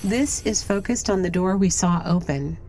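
Woman playing calm guide, capable, composed, lowish pitch, light background ambience, audio drama. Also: moderate pace, slight background noise, lightly acted.

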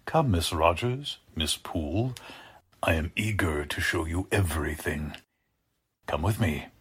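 An actor playing a deranged, sinister scientist with a husky voice, over-polite and menacing, in the style of 1940s radio drama. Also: male voice, low-pitched, animated, a little slow, fairly bright tone, full character voice. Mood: gloating excitement. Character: deranged male scientist, sinister, courtly, gloating captor.

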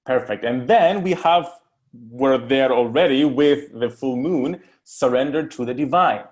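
Casual interview host with a medium-pitched voice. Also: unhurried, darkish tone. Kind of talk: casual interview chat, guiding through topics.

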